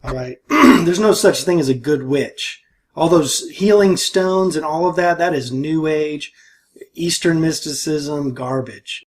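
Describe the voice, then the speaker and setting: A man giving casual, disapproving commentary and reading aloud somewhat haltingly. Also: a little high.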